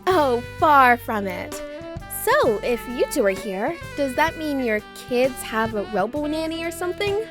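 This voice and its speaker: An actress playing a sardonic but warm-hearted young female agent, wry and expressive, in a comic dub. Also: high-pitched, unhurried. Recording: music behind the voice.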